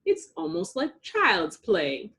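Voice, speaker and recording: A woman voicing a gloating, scheming demon villain from a children's storybook, with mocking, drawn-out delivery. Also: medium pitch, huge pitch swings, fairly bright tone, exaggerated voice.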